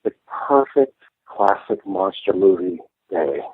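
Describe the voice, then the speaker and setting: A man's voice: sits low, very animated, slow, no echo. An American man speaking casually as an interview guest over a thin, phone-like call. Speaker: podcast guest, artist.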